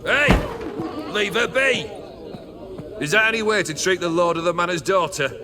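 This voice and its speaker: Brief: world-weary noble, languid and mocking, voice rising and falling freely, revelers behind. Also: male voice, high-pitched, animated, normal speed, noisy background. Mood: sharp. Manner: languid, sardonic, drawling. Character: cursed jaded male noble bandit leader. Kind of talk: game cutscene voice acting. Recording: rowdy crowd of revelers behind.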